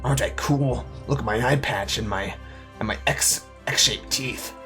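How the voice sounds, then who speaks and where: A man putting on a gravelly, sinister thief. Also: sharp tone, soft background music. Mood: smug menacing. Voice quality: deep, gravelly. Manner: slow, smug and menacing. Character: sinister male thief with eye patch and daggers. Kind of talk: let's play commentary bit.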